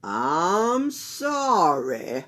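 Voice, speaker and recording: A woman voicing a stern, apologetic male school principal in a read-aloud story, slow and deliberate. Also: on the low side, huge pitch swings, fairly bright tone, heavily acted.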